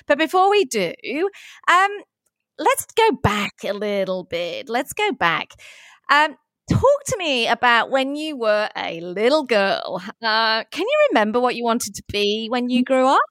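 A woman's voice: a little high, sing-song, a little slow, a bit bright, no room sound. A woman hosting an interview show, effusive and emphatic as she introduces and questions her guest. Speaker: podcast host.

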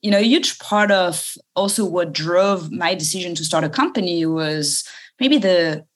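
French-accented woman, low voice. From France. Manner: thoughtful, many pauses and fillers. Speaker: guest, company founder. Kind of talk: casual interview.

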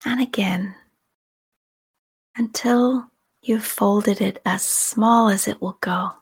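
A woman guides a meditation in a very breathy, whispery voice. She speaks slowly and calmly, leaving long pauses between soft, soothing instructions. Her pitch sits in a middle range for a woman. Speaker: meditation guide and podcast host. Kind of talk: guided meditation, slow scripted narration.

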